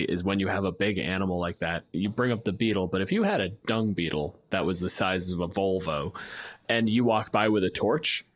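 A man chatting casually as a podcast host. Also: low voice. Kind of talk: casual chat.

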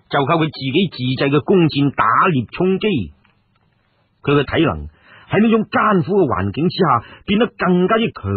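A man's voice: fairly high pitch, husky, dry recording. A man from narrating a historical adventure tale as a storyteller. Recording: muffled phone-like radio sound.

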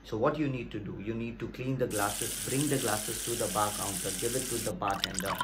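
A man's voice: lowish voice, steady tone, brisk. A man, explaining things to viewers in an emphatic, repetitive, direct way. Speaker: video host giving career advice. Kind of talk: explainer vlog to camera. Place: small plain room indoors. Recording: music behind the voice.

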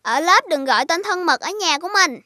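A woman's voice: very high-pitched, expressive, fairly quick, sharp tone, no echo, heavily acted. A woman voicing a sweet, earnest little schoolchild who keeps the peace, in a children's cartoon style. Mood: huffy. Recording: faint music behind the voice.

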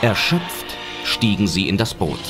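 A man's voice: low voice, sing-song, a little slow. A man reading scripted audio drama narration as a storyteller. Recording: narration over loud film music.

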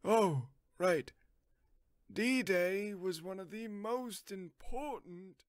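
An actor playing a droning, absent-minded history teacher in an audio drama. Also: male voice, high voice, extremely slow, no echo, clean studio sound. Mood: weary, droning. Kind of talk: audio drama classroom lecture.

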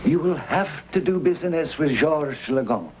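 A man plays a gentle old Cajun parish priest. His fairly high voice rises and falls a lot, on a muffled vintage western soundtrack. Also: expressive, normal speed, close to an everyday voice. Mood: cautious, regretful. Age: older. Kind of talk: 1950s TV western acting.

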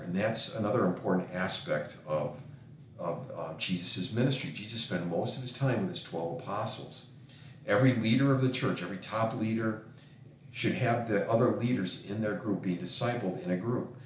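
A man's voice: lowish voice, a little hiss or hum. A middle-aged man, a pastor, teaching a recorded lesson in an earnest way, often restarting phrases, recorded on a webcam in a home office. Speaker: pastor and ministry trainer. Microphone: webcam on a screen recording. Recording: very echoey room, voice far from mic.

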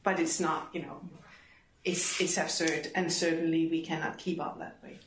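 A middle-aged woman speaks up in a seminar discussion. Her voice sits fairly low and comes from a distance in an echoey classroom. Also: level tone, normal speed. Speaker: university staff member in discussion. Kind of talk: spoken comments in a seminar discussion. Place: classroom, indoors. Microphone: distant room microphone. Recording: distant, echoey room with clear noise.

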